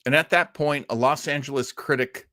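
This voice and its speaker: A man's voice: medium pitch, steady tone, moderate pace, pushed and bright, dry recording, studio quality. A middle-aged man hosting an old-time radio livestream in a casual, chatty, rambling way, effusive and self-deprecating.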